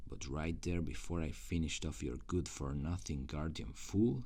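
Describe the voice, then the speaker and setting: Man voicing a very deep, gravelly, sardonic demon. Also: warm tone, full character voice. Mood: bitter, weary. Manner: slow, dry, intimate, sardonic. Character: reluctant male demon guardian, jaded, sardonic, gleefully cruel. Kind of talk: ASMR roleplay.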